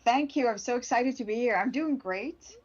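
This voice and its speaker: A woman's voice: fairly high pitch, normal speed, darkish tone, slightly rough, lo-fi sound. A woman from Sweden, a product manager speaking as a guest in a casual livestream interview, chatty and upbeat with frequent restarts. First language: Swedish. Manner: chatty, upbeat, laughs often, many restarts.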